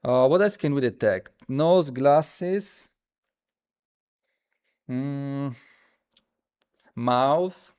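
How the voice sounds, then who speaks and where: A man who speaks as a hosts a casual live coding stream, thinking aloud haltingly and repeating his phrases as he demonstrates. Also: medium pitch, expressive, a little mellow, clean tone. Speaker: livestream host.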